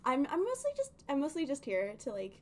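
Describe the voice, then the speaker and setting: A woman's voice: high voice, huge pitch swings, brisk, darkish tone, a little hiss or hum. A woman chatting casually on a game livestream in a rambling, giggly, slightly nervous way. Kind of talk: casual game stream chat.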